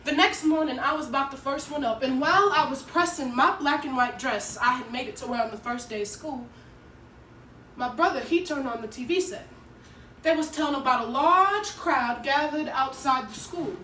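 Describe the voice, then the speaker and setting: A woman's voice: high-pitched, moderate pace, pushed and bright. A woman playing an earnest, nervous teenage schoolgirl who tells her story in an emotive dramatic monologue. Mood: earnest, uneasy. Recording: echoey room, distant mic, faint noise.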